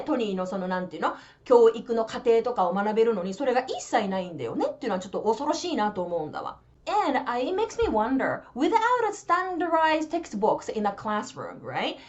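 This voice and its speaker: A chatty woman in her thirties speaks with a Japanese accent. Her fairly high voice leaps all over, quick and animated. The sound is a little dull and lo-fi. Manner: chatty, animated, switches between languages, drawn-out explaining. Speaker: vlog host. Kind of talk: casual bilingual vlog talk.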